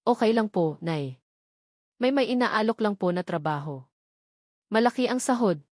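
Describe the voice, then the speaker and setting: A woman's voice: mid-range voice, animated, normal speed, no room sound, clean studio sound, close to an everyday voice. Hesitant young provincial woman, voiced by a woman narrator. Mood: hesitant, uneasy. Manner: hesitant, respectful. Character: dutiful, troubled young provincial woman, eldest daughter. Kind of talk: audio drama storytelling.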